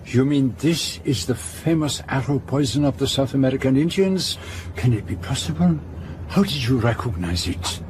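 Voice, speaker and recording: An actor playing a fastidious, observant detective, narrating formally and precisely in a radio drama. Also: male voice, mid-range voice, close to an everyday voice. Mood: intent. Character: fastidious, observant foreign male detective narrating his journey. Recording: steady aircraft cabin noise behind.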